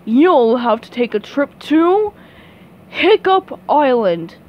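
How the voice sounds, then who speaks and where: A woman voicing a crusty male crab restaurant boss in a hobby cartoon dub, with gruff, ominous pirate diction. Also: high-pitched, sing-song, slow pace, lo-fi sound, exaggerated voice. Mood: grave.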